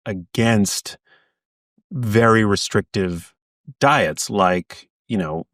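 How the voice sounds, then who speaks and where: A man's voice: lowish voice, very slow, a bit bright, no echo, studio quality, recorded from a distance. A man guesting on an interview podcast as an author, talking earnestly in bursts with frequent restarts. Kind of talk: casual interview chat.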